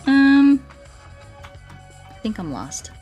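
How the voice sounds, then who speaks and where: A woman doing a casual gaming livestream, rambling playfully and thinking out loud as she chats with viewers. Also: high-pitched, very animated, drawn-out words, faint music behind. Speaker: streamer playing Minecraft and chatting with viewers.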